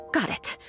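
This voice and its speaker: A woman playing a proud, vengeful young aristocratic knight in a video game, with formal, precise, lecturing speech. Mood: stiffly imperious. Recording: faint music behind the voice.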